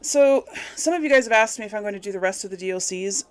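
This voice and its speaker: A woman with a mid-pitched voice hosts a casual gaming video. She speaks to her viewers in an informal, chatty way, thinking aloud as she plans what to do next. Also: lively intonation, fast, smooth. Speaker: let's play host.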